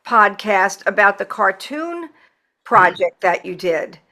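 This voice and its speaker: Female podcast host, bright forward voice, chatty and enthusiastic, informal interviewing style. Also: mid-range voice, very animated, slow. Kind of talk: casual conversational interview.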